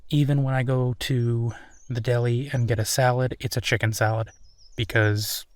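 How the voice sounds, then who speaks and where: A man playing an easygoing, affectionate werewolf boyfriend in an intimate audio roleplay, speaking earnestly and haltingly. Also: medium pitch, little pitch movement, no room sound, lightly acted. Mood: relaxed, wry. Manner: hesitant, chuckling, earnest, halting phrasing. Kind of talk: intimate boyfriend roleplay, naturalistic one-sided conversation.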